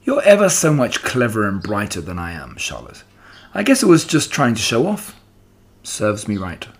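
Young storybook pig, male, played by a grown man: naive, childlike, lively swooping delivery, slightly rough tone. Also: lowish voice, normal speed, full character voice. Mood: sad, humble. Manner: earnest, excitable, childlike.

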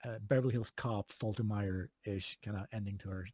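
A man from Sweden with a Swedish accent, chatting casually as an interview guest, relaxed, with many fillers and chuckles. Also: on the low side, a little fast, no room sound. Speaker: video game composer, guest.

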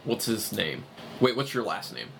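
Man, player, easygoing, low voice, casual chat, faint noise. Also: very animated, moderate pace, creaky, fairly bright tone. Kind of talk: casual tabletop game chat.

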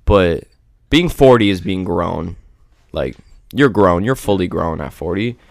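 A man's voice: low voice, expressive, measured pace, creaky. A young man in casual chat, laid-back and slangy, swearing freely, talking into a handheld mic. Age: young adult.

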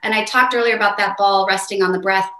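Bright-voiced woman explaining voice technique. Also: fast, reverberant. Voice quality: mezzo voice. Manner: animated, demonstrative, shifts pitch to show points. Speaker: voice teacher guest. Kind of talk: explanatory interview talk.

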